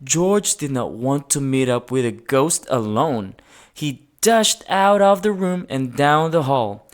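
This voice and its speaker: Children's story narrator: woman, low register, unhurried pace, animated and dramatic read-aloud delivery. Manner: expressive, dramatic emphasis, sound-effect words. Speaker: storybook reader.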